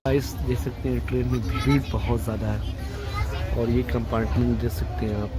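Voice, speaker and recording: A young man casually narrating a train trip vlog from a moving carriage at night, chatting to his viewers as he films with his phone. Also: rough recording. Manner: chatty, addresses viewers as guys. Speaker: vlogger. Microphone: handheld phone. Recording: loud train rumble behind voice.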